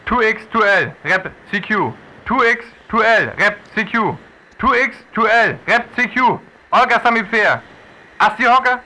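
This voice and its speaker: An actor playing an urgent radio operator with a North Frisian accent, sending calls through a radio filter in a radio drama. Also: male voice, sits high, measured pace, sharp tone, full character voice, poor audio. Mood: urgent calling.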